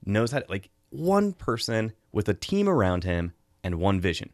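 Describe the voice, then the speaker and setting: A man's voice: on the low side, big pitch swings, moderate pace, no echo, clean studio sound. An American man hosting a review show, talking casually as he gives his take. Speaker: host of a film and book review show.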